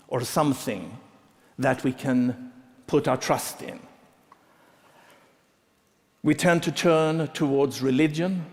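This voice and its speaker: A middle-aged man speaks on stage in short, measured phrases. His pace is unhurried, almost stately. The sound carries the long echo of a large hall. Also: mid-range voice. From Sweden. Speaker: conference speaker. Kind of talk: prepared talk on stage.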